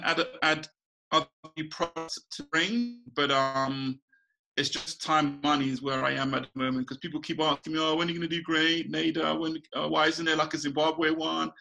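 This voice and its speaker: A bright, gravelly man from Ghana talks on a video call from a small room at home. His voice sits a little high for a man. He is relaxed and chatty, laughs often and restarts his sentences as he goes. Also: poor audio. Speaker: guest, small business owner. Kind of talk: casual interview over video call. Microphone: video call webcam.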